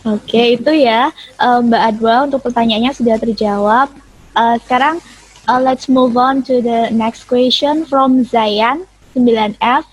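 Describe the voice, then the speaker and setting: A woman hosts an online Q&A, reading out questions in a friendly manner. Her voice sits somewhat high and sounds breathy. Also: fairly even intonation, moderate pace, poor audio. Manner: friendly, reads out questions, many backchannels. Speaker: host, moderator. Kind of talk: hosting online sharing session.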